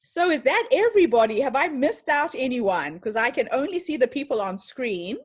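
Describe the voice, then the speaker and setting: A woman with a slightly high voice speaks to an audience over a video call from her home. She lectures with enthusiasm and tells stories as she explains her ideas, then takes questions. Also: big pitch swings, normal speed, husky. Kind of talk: online lecture and Q&A.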